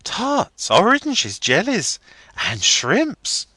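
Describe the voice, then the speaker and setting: A man voicing a playful, pouting young woman in a high, sing-song girlish voice. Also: sing-song, slow, fairly bright tone, no room sound, heavily acted. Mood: playful, teasing.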